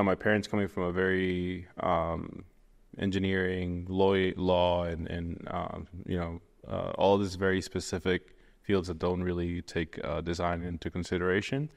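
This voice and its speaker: A man's voice: low voice, vocal fry, in a large hall. A young man from Venezuela, a guest in a casual interview, rambling with many fillers and restarts. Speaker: guest, 3D artist.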